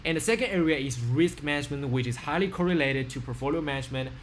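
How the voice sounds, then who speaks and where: A man's voice: on the high side, animated, fast talker, slight background noise. A teenage boy from China with a Chinese accent, giving an earnest self-introduction over a webcam from a small room, with many fillers and restarts. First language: Mandarin. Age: teens. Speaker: student. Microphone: webcam on a video call.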